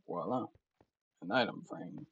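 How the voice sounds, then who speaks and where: A man's voice: lowish voice, a little slow, fairly bright tone, slightly rough, dull audio. A man giving casual commentary while playing a game, thinking aloud as he goes. His voice is starting to tire. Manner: thinks aloud, meandering and self-talking. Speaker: let's play gamer.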